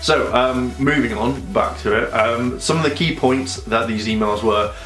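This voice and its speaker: A man with a head cold, talking casually to a camera on a tripod in a rambling, animated way, breaking into sudden high-pitched exclamations. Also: moderate pace. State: congested from a cold. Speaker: vlogger. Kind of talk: casual opinion vlog to camera. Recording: echoey room with faint music.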